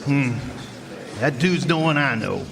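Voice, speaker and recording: An actor playing a grumbling, distrustful outlaw sidekick in an old-time radio Western, with a gravelly voice and folksy cowboy talk. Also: male voice, medium pitch, expressive, measured pace. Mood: puzzled. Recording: echoey hall, noisy with clicking.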